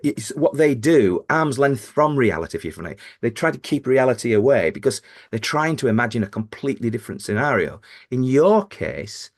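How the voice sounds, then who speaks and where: A man hosts a podcast in a casual, joking manner. He rambles and restarts his sentences, and his voice sits at a middle pitch. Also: sing-song, a little fast, few pauses. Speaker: podcast co-host. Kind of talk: casual interview chat.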